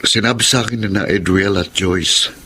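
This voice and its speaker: An actor playing an earnest, gentle man who pleads sincerely with the woman he loves in a radio drama. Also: low-pitched, fairly even intonation, moderate pace, a little hiss or hum.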